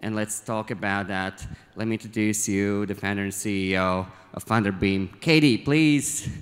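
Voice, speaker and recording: A man's voice: on the low side, normal speed. A man hosting an event, introducing the next speaker on stage in an emphatic, conversational way. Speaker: event host. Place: large conference hall. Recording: long hall reverb with crowd noise.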